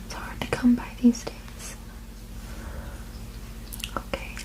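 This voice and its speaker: A woman whispering softly and breathily in a soothing, reassuring, unhurried ASMR roleplay as a sleep therapist. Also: poor audio. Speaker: ASMR performer playing a witch sleep therapist.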